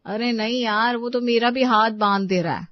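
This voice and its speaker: A harried young daughter-in-law, played by a woman storyteller, thrifty and exasperated. She explains and protests in a fairly high voice, on a slightly dull recording of a family story. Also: moderate pace, no echo, lightly acted. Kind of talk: Hindi moral-story character dialogue.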